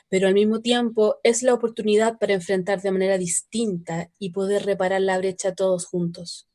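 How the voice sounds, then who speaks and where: A woman's voice: medium pitch, a little mellow. A woman reading a report in a radio documentary, in an earnest and explanatory way.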